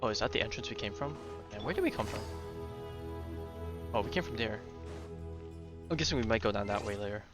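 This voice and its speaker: A man's voice: lowish voice, expressive, brisk, long pauses, over music. A man giving casual live commentary as he plays through a video game. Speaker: game streamer.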